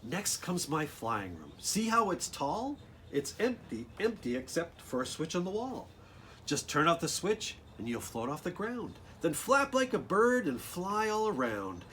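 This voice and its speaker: A man reads a storybook aloud for children with very lively, swooping intonation. He often drops into casual asides and speaks directly to his young listeners. He is outdoors, with light background noise behind him. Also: on the high side, a little slow. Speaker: children's author reading own picture book aloud.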